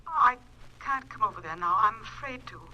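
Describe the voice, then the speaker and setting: A woman's voice: on the high side, animated, normal speed, pushed and bright, muffled recording, full character voice. An actress playing a frightened, secretive mother pleading urgently over a telephone in 1940s radio drama style. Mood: pleading, fearful. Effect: telephone filter. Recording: thin telephone voice on old radio recording.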